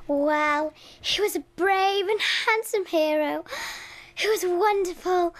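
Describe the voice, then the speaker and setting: A woman's voice: very high voice, slow. A young woman playing a prim, smitten lady in a comic radio play. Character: prim, smitten lady swooning over a highwayman. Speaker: drama student.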